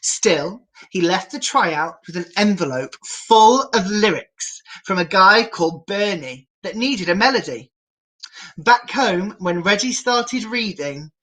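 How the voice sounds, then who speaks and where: A woman's voice: lowish voice, sharp tone, low-quality recording. A woman reading a picture book aloud to children in a theatrical, playful manner, with asides to the viewers. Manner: theatrical, playfully self-mocking. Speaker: children's storyteller, drag queen.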